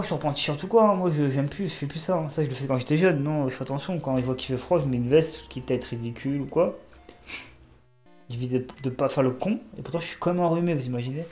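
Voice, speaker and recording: A man's voice: on the high side, darkish tone, reverberant. Stuffy-nosed man joking through a game. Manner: joking, talks to himself and the game characters. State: has a cold. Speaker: gaming YouTuber. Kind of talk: casual let's-play commentary.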